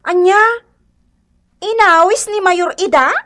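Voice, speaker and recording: A woman's voice: very high-pitched, slow, a bit bright, exaggerated voice. A woman playing a giggly, teasing young woman in a comedic radio drama. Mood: curious, excited. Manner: giggly, exclaiming. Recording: noisy track with clicks.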